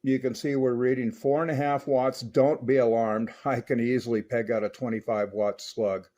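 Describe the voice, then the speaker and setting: A man hosting a hands-on equipment review, explaining technical details in a measured, step-by-step way. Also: mid-range voice, fairly even intonation, mellow. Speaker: host of a radio equipment review channel. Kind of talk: product review and technical demonstration narration.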